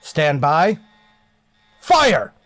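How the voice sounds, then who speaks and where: An actor playing a stern, weary starship captain in a sci-fi radio drama, clipped and authoritative. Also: male voice, on the high side, wildly swooping pitch, very slow, a bit bright, exaggerated voice. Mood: urgent, commanding.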